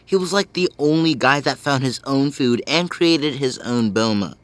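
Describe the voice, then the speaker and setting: A man's voice: mid-range voice, normal speed, a bit bright, no room sound, lightly acted. An actor playing an easygoing, enthusiastic teenage boy with casual slangy talk in a family audio drama. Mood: easygoing, keen.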